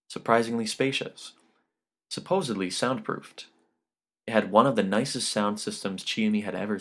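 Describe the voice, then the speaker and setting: A man's voice: on the low side, a bit bright. A man reading a short story as an audiobook narrator, in scripted literary narration.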